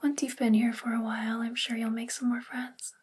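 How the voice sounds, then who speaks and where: A woman playing a sweet, bookish witch librarian in a soft spoken ASMR roleplay, gentle and soothing in a breathy, whispery voice. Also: a little high, little pitch movement, brisk, slight background noise, close to an everyday voice. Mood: kind, reassuring.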